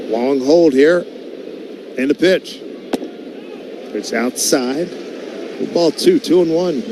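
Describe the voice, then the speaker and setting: A male baseball radio announcer with a high-pitched voice delivers live play-by-play in short, clipped phrases, ready to lift into an excited call. His voice sits over clearly audible stadium noise, as on a live ballpark broadcast. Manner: clipped phrases, calls rising to excited shouts on big plays. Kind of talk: live sports play-by-play commentary.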